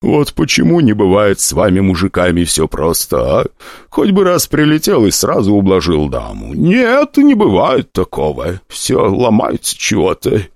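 A man's voice: low voice, huge pitch swings, normal speed, a little mellow, no room sound, clean studio sound, heavily acted. A man voicing a crude, grubby woman who drawls and grumbles as she talks. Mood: coarse drawl.

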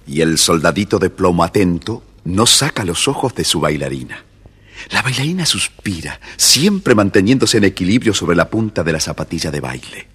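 A man's voice: low-pitched, big pitch swings, normal speed, a bit bright, breathy edge. A man narrating a scripted children's story as storyteller.

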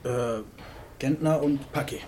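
An actor playing a young, slightly awkward police trainee in a radio drama, tentative and hesitant. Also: male voice, medium pitch, steady tone, unhurried, darkish tone, a little hiss or hum, lightly acted.

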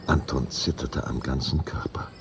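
A man narrating a children's audio drama in a gravelly, husky voice, with a deliberate, suspenseful delivery. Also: very deep voice, steady tone, slight background noise.

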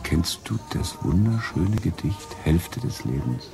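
Deep-voiced, wry middle-aged charmer. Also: male voice, unhurried, vocal fry, music in the background, heavily acted. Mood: melancholic. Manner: teasing, flattering, theatrical. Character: charming, melancholic middle-aged male lover, wry and flattering. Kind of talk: radio drama acting, intimate dialogue.

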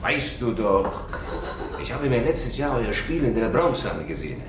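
An actor playing a genial, homebound older immigrant father from Italy in a radio drama, speaking haltingly. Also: medium pitch, big pitch swings, roomy sound, background noise, natural voice. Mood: genial, reflective.

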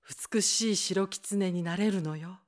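A woman's voice: mid-range voice, a little fast, close to an everyday voice. Actress as composed, commanding snow woman spirit. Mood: soothing. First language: Japanese. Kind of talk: radio drama acting.

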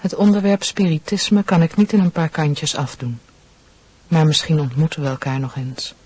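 A woman's voice: sits low, fairly even intonation, moderate pace, darkish tone, slightly rough, no echo. A woman acting an earnest, politely formal young nurse in a Dutch radio play. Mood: formal, dutiful. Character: earnest, devout young nurse, gentle and dutiful.

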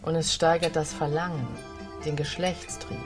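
An actress playing an off-duty nurse in a radio drama, talking in a casual, blunt and teasing way. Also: female voice, low voice, level tone, normal speed, soft background music, close to an everyday voice. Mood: breezy, blunt. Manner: casual, matter-of-fact, teasing.

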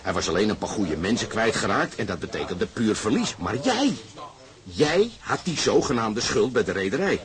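An actor playing a fervent socialist sailor who lectures insistently and persuasively with emphatic questions, in a Dutch radio drama. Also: male voice, on the low side, very animated, normal speed. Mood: urgent, persuasive.

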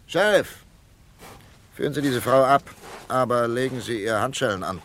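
An actor playing a confident, commanding FBI captain in an old radio crime drama. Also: male voice, medium pitch, fairly bright tone, a little hiss or hum, close to an everyday voice. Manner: commanding, brisk. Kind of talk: vintage radio drama acting.

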